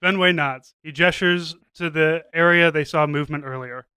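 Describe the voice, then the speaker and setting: A male podcast narrator reading a comic script. His voice is high, bright and clean, with very little room sound around it. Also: moderate pace, clean tone, no room sound, clean studio sound.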